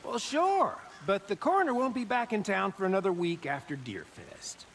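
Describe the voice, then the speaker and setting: An actor playing an eager, chatty deputy in a game cutscene. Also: male voice, high-pitched, big pitch swings, moderate pace, slight background noise.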